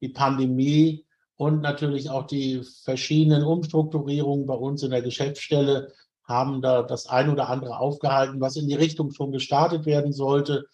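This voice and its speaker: Medium-pitched man answering interview questions. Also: level tone, normal speed, warm tone, lo-fi sound. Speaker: interview guest.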